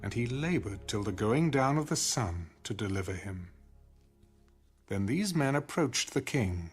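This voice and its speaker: A man giving solemn, deliberate scripted narration of scripture in a dramatized audio style. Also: mid-range voice, big pitch swings, faint music behind.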